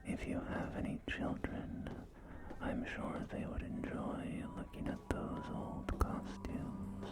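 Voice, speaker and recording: A man whispering an ASMR roleplay as a polite, helpful real estate agent, in gentle, unhurried sales patter, with music behind him. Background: loud music and game audio.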